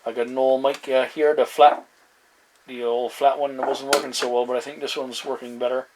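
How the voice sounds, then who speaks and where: A man in casual two-way radio chat as a hobbyist, speaking in halting phrases with radio slang. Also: medium pitch, little pitch movement, darkish tone, slight background noise, low-quality recording.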